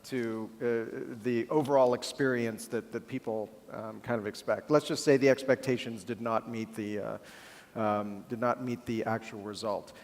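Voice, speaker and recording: A man's voice: medium pitch, fairly even intonation, moderate pace, a little mellow. A man speaking on a conference panel, hesitant with many fillers and restarts, then emphatic on his points. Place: large conference hall. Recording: hall reverb.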